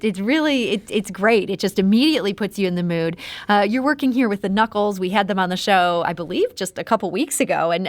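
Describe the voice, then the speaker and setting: A woman's voice: medium pitch, no room sound. A woman hosting a conversational public radio interview, introducing guests and asking questions.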